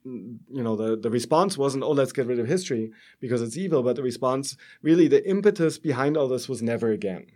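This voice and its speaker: A middle-aged man with a German accent speaks as an expert guest in an academic interview. His voice sits at a medium pitch. He explains in a halting, thinking-aloud way, often adding a short tag to check understanding. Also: animated, a little mellow.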